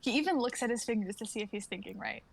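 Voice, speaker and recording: A woman's voice: fairly high pitch, fast, vocal fry, breathy edge. A young adult woman reading stage directions aloud in a dramatic script reading.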